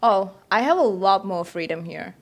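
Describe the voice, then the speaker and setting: Casting: female student in her youth, Sri Lankan accent, average pitch, informal interview answers. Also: expressive, moderate pace. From Colombo, Sri Lanka. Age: young adult. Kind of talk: casual interview conversation.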